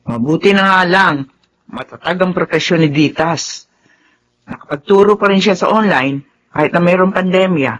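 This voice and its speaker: An actress playing a chatty, doting older mother-in-law excited to be a grandmother, in a Tagalog radio drama. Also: lowish voice, moderate pace, close to an everyday voice.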